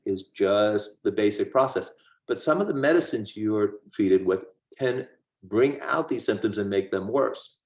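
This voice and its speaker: A man's voice: lowish voice, echoey room. A man, a gastroenterologist, explaining a medical topic in a plain, conversational lecture.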